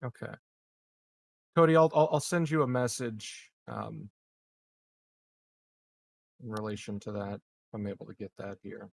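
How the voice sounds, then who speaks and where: A man's voice: lowish voice, steady tone, unhurried, creaky, long pauses, dry recording. Joking, swearing man in a dry recording. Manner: profane, joking. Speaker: player in a tabletop game. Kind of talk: casual chat.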